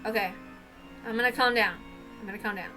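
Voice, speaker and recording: Female reading-series host, high and sharp-edged, informal and emphatic, over music. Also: low-quality recording. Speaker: reader and host of a read-aloud series. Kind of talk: casual chat and dramatic reading of a visual novel.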